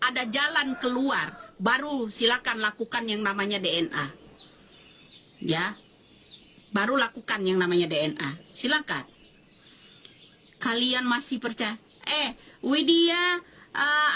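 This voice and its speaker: Woman, fifties, fiery, emphatic, repetitive, high bright voice. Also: measured pace, slight background noise. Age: 50s. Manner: fiery, emphatic, repeats phrases and demands answers. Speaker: social media commentator. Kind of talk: impassioned video statement.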